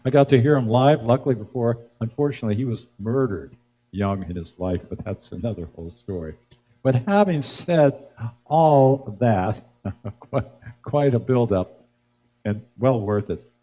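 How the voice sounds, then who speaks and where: An older man hosting a live music show, giving a rambling, halting spoken introduction about music history, pausing to recall details. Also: mid-range voice, lively intonation, dark tone. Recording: noticeable background noise and hum.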